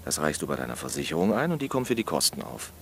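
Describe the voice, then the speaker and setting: A man voicing a dry, teasing but lenient policeman in an audiobook, matter-of-fact and deadpan, with faint noise behind. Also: very deep voice, fast talker, creaky, voice pushed down, full character voice. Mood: lenient, firm.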